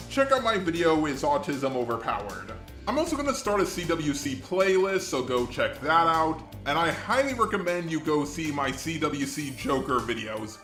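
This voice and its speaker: A man's voice: medium pitch, a bit bright. Male commentator with a robot voice, echoey sound, reading scripted opinion pieces. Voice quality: synthetic robotic voice. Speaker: video commentator. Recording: echoey, music underneath. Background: background music.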